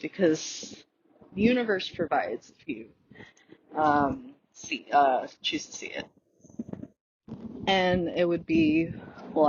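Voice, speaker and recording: A woman's voice: on the low side, lo-fi sound, slight background noise. A woman whose first language is Tagalog, hosting a casual solo podcast on a walk outdoors, talking in a chatty, rambling way with many fillers and restarts. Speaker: podcast host.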